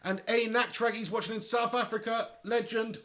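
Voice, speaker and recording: A man giving chatty, excitable live commentary on a boxing match and talking straight to his viewers. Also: very high voice, normal speed. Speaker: livestream fight commentator.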